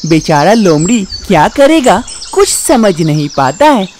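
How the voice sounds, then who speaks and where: A woman's voice: medium pitch, big pitch swings, measured pace, a little mellow, a little hiss or hum. A woman narrating a scripted animal fable for children as a storyteller.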